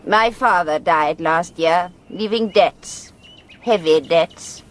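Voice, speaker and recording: A woman's voice: lowish voice, takes it slowly. An actress playing a genteel, composed elderly lady masterminding a crime in a radio drama, polite, precise and firm. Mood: grave, determined. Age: older.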